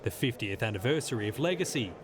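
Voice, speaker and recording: A man reporter reading a scripted news voice-over with brisk, punchy phrasing. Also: medium pitch, lively intonation, noisy background.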